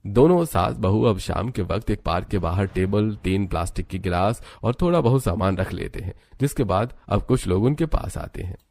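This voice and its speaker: Low, mellow male story narrator. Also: animated, normal speed, few pauses.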